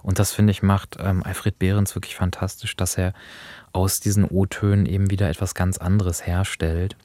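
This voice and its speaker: A man's voice: sits low, flat delivery, moderate pace, warm tone, no room sound. A man co-hosting a radio programme, explaining and analysing in a reflective conversational way. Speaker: radio show co-host. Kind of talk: reflective two-person conversation about radio drama.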